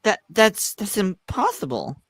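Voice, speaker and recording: A woman's voice: mid-range voice, huge pitch swings, measured pace, breathy edge, no room sound, studio quality, heavily acted. A woman voicing a smug, scheming medieval sheriff in a comedic script read, with an arch, insinuating manner. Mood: accusing.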